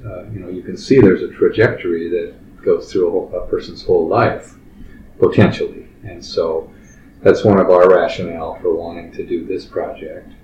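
A man in his sixties, a clinical social worker, answering interview questions in a steady, matter-of-fact way with many fillers. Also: deep voice. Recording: roomy, distant, muffled recording with faint background noise.

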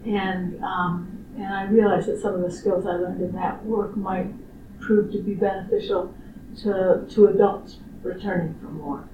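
A woman's voice: mid-range voice, steady tone. Thoughtful older woman, distant roomy recording. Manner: measured, thoughtful, with frequent pauses and fillers. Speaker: researcher. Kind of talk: interview answers. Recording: roomy, distant, muffled recording with clear background noise.

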